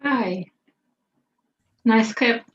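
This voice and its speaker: A woman's voice: on the high side, drawn-out words, fairly bright tone, lo-fi sound. A woman on a call making brief, playful remarks.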